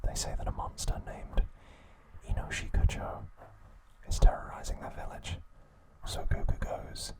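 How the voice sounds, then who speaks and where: A man hosting ASMR, narrating in a hushed, soothing, breathy whisper at an unhurried pace. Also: low-quality recording. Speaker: ASMR host.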